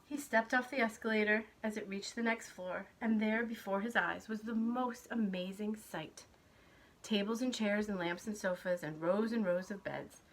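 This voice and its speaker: A woman's voice: mid-range voice, normal speed, rough recording. A woman reading a picture book aloud to preschool children. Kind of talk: children's storybook read-aloud.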